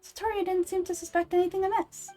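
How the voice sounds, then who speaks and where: A woman voicing a gentle, wise young girl deity in a video game, speaking in a measured, polite, analytical way. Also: very high-pitched, little pitch movement, faint music behind, pitched above the performer's own voice. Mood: thoughtful. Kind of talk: video game character voice acting.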